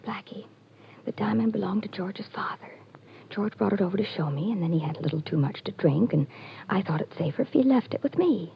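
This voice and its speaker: An actress playing a desperate young woman begging for help in a 1940s radio drama, pleading and often hushed. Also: mid-range voice, lively intonation, a little fast, vocal fry. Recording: lo-fi old radio broadcast, faint hiss.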